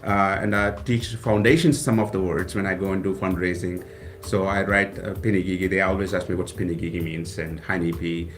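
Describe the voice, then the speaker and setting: A man's voice: low-pitched, fairly even intonation, fairly quick, hardly any pauses, faint music behind. A man from South India whose first language is Kannada, a college president talking earnestly and gratefully in a casual radio interview, often restarting his phrases. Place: radio studio.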